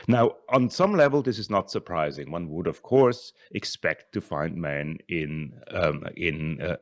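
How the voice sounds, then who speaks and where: A man, giving an academic conference talk in a thoughtful, halting way, often repeating and restarting words. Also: deep voice, dry recording, studio quality. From Switzerland. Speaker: conference speaker, scholar.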